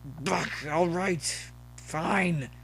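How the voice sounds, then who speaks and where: A man voicing an angry, drunk male college pony bully who shouts threats in an audio story. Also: a little high, very slow, gravelly, a little hiss or hum, voice pushed up, exaggerated voice. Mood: defeated anger.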